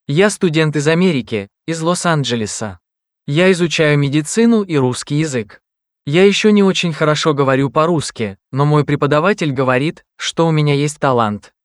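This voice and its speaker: An actor voicing a young male student who introduces himself and his family, speaking in slow, simple, carefully pronounced sentences for a language test recording. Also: on the high side, expressive, no room sound, studio quality, close to an everyday voice. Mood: friendly. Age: young adult. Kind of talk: slow scripted reading for learners.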